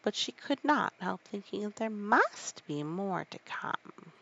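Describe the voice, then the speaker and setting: A woman's voice: mid-range voice, sing-song, a little slow, gravelly, fairly bright tone, muffled recording. A drowsy woman reading a children's classic aloud as a bedtime story, drifting toward sleep.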